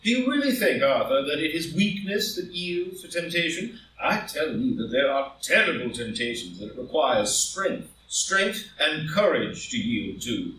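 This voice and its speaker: A man playing a proud, ambitious wealthy gentleman in a stage drama reading, speaking in an impassioned, grandiloquent and emphatic way. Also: on the high side, sing-song, a little slow, hardly any pauses, a little hiss or hum, full character voice. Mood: indignant, defiant. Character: proud, ambitious wealthy gentleman defending his guilty secret. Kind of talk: live group play reading, stage drama. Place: indoor room, live in-person group reading. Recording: roomy live recording, distant from mic.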